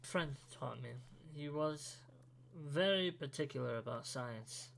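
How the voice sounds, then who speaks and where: A man playing a principled, thoughtful young wizard student in a fan audio drama, speaking in a calm, measured way. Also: on the high side, creaky, full character voice. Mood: calm, matter-of-fact.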